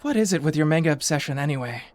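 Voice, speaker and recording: Woman acting a low-voiced, exasperated male teacher. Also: animated, very fast, noisy background. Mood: scolding. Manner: dry, sarcastic, scolding. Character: exasperated, sarcastic male high school teacher. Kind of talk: anime fan dub acting. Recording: music behind the voice.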